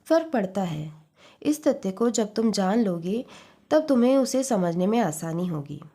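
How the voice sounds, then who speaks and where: A woman voicing an earnest, protective middle-aged mother in a story reading, speaking in a measured, advising way. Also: mid-range voice, big pitch swings, mellow. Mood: earnest, calm.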